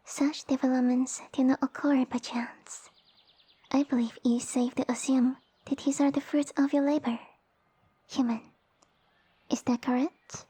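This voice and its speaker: A woman voicing a small, haughty young snake-girl noble in an ASMR roleplay, speaking softly with pompous, formal condescension. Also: high voice, flat delivery, unhurried, husky, no room sound, heavily acted. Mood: haughty, appraising. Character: small haughty young lamia noblewoman, sassy and easily offended. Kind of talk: ASMR audio roleplay acting. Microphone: close dry microphone.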